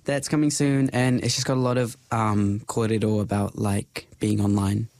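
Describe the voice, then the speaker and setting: A young New Zealand man speaks in a low voice, relaxed and thoughtful, with plenty of fillers. He is a podcast guest, and the recording is dry and close. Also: normal speed, no echo. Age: young adult. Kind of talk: casual interview chat.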